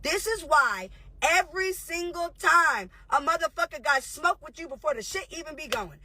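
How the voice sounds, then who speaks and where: A woman in her thirties, a reality show host, ranting heatedly and profanely on a livestream, confrontational and rapid-fire. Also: high voice, animated, bright, forceful tone, dry recording.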